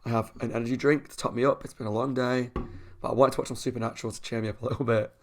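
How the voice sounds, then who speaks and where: A young man hosting a reaction channel at home, chatting casually with a croaky, sore voice and frequent laughs. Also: medium pitch, steady tone, racing. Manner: chatty, talks a lot, laughs often.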